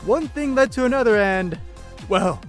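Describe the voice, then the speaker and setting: A man's voice: high-pitched, lively intonation, moderate pace, faint music behind. A man playing a boastful hacker convict of about thirty in a sci-fi audio drama, cocky and showy. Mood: giddy, amused. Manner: showy, self-amused, cocky. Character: brash, boastful thirty-year-old male hacker convict.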